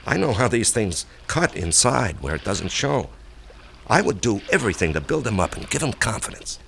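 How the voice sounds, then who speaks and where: A man's voice: fairly low pitch, very animated, normal speed, creaky, a bit bright, heavily acted. An actor playing an insecure, weary salesman and husband in a radio drama, speaking in an earnest, hesitant way. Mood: confessional, downcast. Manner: earnest, hesitant, self-doubting. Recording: noisy vintage radio drama recording.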